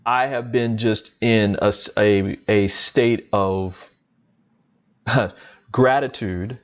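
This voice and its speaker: Middle-aged host guy, really slow and thoughtful, lowish voice, dull lo-fi call audio. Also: fairly even intonation. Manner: slow, grateful, reflective. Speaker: talk show host. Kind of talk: casual panel chat. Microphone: video call.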